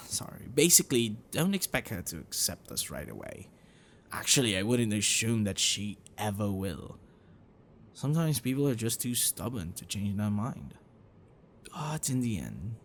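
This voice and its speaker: A man playing a gentle, bookish half-dragon boyfriend in an intimate ASMR roleplay, affectionate and apologetic, speaking haltingly and softly. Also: on the low side, slight background noise. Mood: wistful, reassuring. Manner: halting, soft chuckles and sighs, dropping to whispers.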